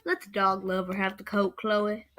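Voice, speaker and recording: A woman playing a bossy male gangster boss with a soft spot for dogs in a student radio play. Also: medium pitch, level tone, normal speed, very noisy, lightly acted.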